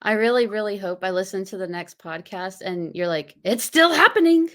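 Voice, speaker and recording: A woman's voice: mid-range voice, animated, moderate pace, fairly bright tone. Woman guest on a podcast, teasing and laughy, gets hyped up. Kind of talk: casual podcast chat.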